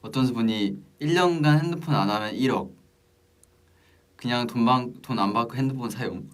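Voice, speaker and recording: A young man chatting casually on a livestream, reading out comments with hesitant pauses between short remarks. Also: medium pitch, recorded from a distance. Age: young adult. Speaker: idol group member hosting a livestream.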